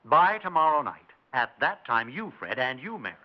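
A man's voice: medium pitch, very animated, moderate pace, natural voice. An actor playing a smug, evasive houseguest who needles his hosts with mock courtesy in a 1960s radio drama. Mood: coolly promising. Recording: dull lo-fi 1960s radio recording.

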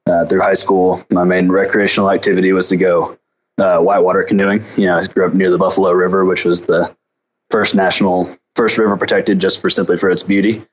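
A man's voice: low-pitched, little pitch movement, brisk, muffled recording, a little hiss or hum. A man from Arkansas with an American accent, answering interview questions in an easygoing, informal way as a company founder. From Arkansas, United States.

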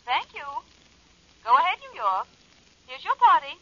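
A formulaic switchboard operator in an old radio show. Her voice is high, bright and singsong. The recording is muffled. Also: sing-song, normal speed, full character voice. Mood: businesslike. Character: brisk, singsong female telephone operator. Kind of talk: 1940s radio acting.

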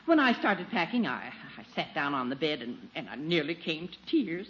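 An actress playing a prim, sentimental older maiden aunt with a proper manner, in 1940s radio comedy style. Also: female voice, deep voice, sing-song, normal speed. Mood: tearfully sentimental. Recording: muffled 1940s radio with faint noise.

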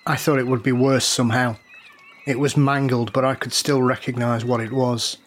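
An actor as a blunt, grieving diver brother. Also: male voice, mid-range voice, flat delivery, normal speed, a little hiss or hum, natural voice. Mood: haunted, subdued. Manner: terse, swearing, blunt. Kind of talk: audio drama acting.

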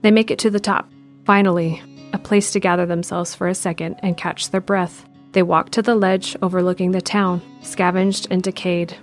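A woman giving steady scripted narration of an audio drama in the present tense. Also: on the low side, normal speed, soft background music.

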